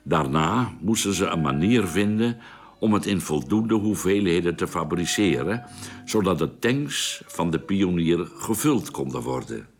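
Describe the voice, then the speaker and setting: An actor playing a sober spaceman who narrates his expedition in a radio drama with measured, formal storytelling. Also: deep voice, full character voice.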